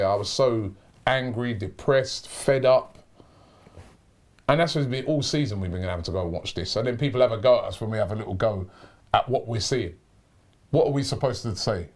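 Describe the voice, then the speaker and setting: A man's voice: medium pitch, fairly quick, darkish tone. A middle-aged man hosting a fan talk show, ranting in frustration and often restarting his sentences. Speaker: host.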